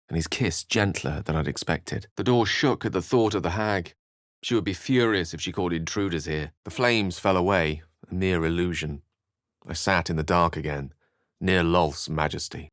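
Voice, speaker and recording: A man playing an eloquent, courteous wizard in a video game, articulate and literary in his measured delivery. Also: low voice, fairly bright tone, no echo, studio quality. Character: eloquent, courteous, bookish male human wizard, a wry adventurer. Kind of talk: video game character lines and narration.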